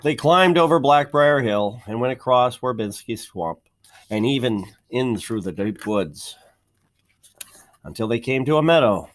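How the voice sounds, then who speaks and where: A man reading a children's picture book aloud in an expressive, measured storytelling manner, stressing key words. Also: mid-range voice. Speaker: storybook reader.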